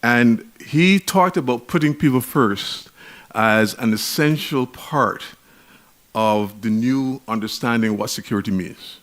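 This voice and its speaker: Barbadian man, conference speaker, measured emphatic delivery, mid-pitched voice. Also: a bit bright. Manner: measured, emphatic, with frequent restarts. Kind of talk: academic conference lecture.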